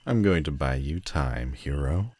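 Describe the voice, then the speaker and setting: An extremely deep-voiced man acting as a telepathic villain in an audio roleplay. He is proud and sardonic, with a haughty, theatrical delivery that leans hard on his words. A lonely streak sits under the arrogance. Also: lively intonation, darkish tone, breathy edge, full character voice. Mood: teasing, confident. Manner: haughty, dramatic, drawn-out emphatic phrasing.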